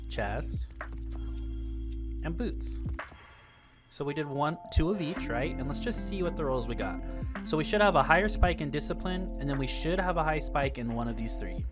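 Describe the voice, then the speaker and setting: A man's voice: fairly high pitch, expressive, normal speed, pauses often, over music. A man hosting a gaming channel, explaining gear and stats in a voice-over over gameplay, upbeat, repeating words for emphasis.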